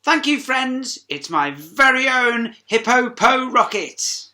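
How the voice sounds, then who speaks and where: Lady doing an eager girl hippo for a kids story, bright voice pitched up high, earnest. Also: animated, slow, heavily acted. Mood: delighted. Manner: exclaiming, earnest. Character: eager, big-dreaming young female hippo adventurer.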